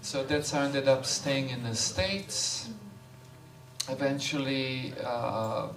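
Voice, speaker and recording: Slow-spoken man in echoey hall. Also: mid-range voice, crowd noise. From Croatia. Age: 50s. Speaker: visiting monk giving a talk. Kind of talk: informal talk, introducing himself. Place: temple hall. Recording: echoey room, voice far from mic, background noise.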